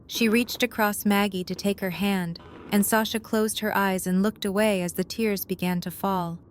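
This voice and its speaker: Woman narrator, medium pitch. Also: steady tone, normal speed, slight background noise. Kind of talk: scripted narration of audio drama.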